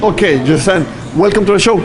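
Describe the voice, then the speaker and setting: A man's voice: high voice, fairly quick. A man hosting a show, interviewing guests on location and often repeating his words. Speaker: show host. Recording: noisy crowd around.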